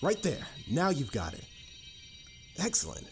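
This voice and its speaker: A man's voice: fairly high pitch, wildly swooping pitch, a little slow, full character voice. A man playing a confident, patient mage mentor in a fantasy audio roleplay, instructive and slightly formal in a theatrical way. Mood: pleased, encouraging. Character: confident adult male mage mentor, patient, boastful, encouraging. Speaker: voice actor. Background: music.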